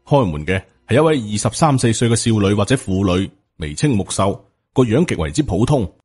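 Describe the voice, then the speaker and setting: A man's voice: fairly low pitch, very animated, a little fast, a little mellow, slightly rough. A man with a Hong Kong Cantonese accent narrating a supernatural novel as an audiobook. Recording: music behind the voice. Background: background music.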